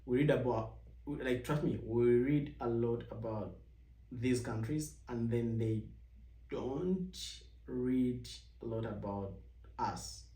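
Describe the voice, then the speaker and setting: A young man from Uganda telling personal stories as a vlog host, rambling with many restarts and jumping higher when he mimics people's questions. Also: slow pace, warm tone, far from the mic. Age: young adult. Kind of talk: casual personal storytelling. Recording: echoey room, voice set back from the mic.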